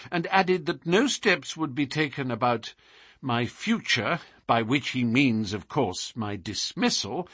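A man voicing an anxious, grateful young diplomat recovering from illness in a storybook, speaking earnestly and excitably. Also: medium pitch, breathy edge, dry recording, voice pushed up.

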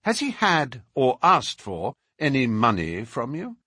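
A man's voice: medium pitch, huge pitch swings, measured pace, sharp tone, breathy edge, no echo, full character voice. Man voicing a detective, cool, precise, inquiring, dry close sound. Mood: probing. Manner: precise, questioning. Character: cool, probing adult male detective. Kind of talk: audiobook character reading.

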